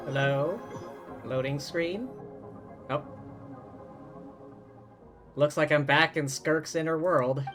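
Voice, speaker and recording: Male streamer, slightly high voice, live game commentary, reading aloud, faint music behind. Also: a bit bright.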